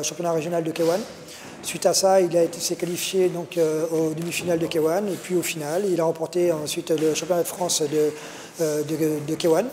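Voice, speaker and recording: Male coach, interview answers, hesitant, higher voice, echoey gym with hiss. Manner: hesitant, many fillers and restarts. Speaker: martial arts coach. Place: martial arts gym.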